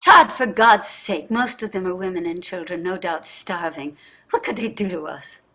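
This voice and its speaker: Woman acting anguished rich wife, bright husky voice, dramatic exclamations, stage drama. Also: fairly low pitch, expressive, normal speed. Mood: indignant. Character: distraught wealthy American society wife, anguished and guilt-ridden. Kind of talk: staged play reading.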